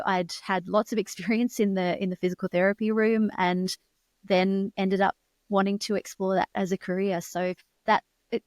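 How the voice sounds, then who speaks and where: A woman's voice: medium pitch, darkish tone, dry recording, clean studio sound. Australian woman, articulate podcast guest. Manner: articulate, measured, with occasional restarts. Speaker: guest researcher. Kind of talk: conversational interview.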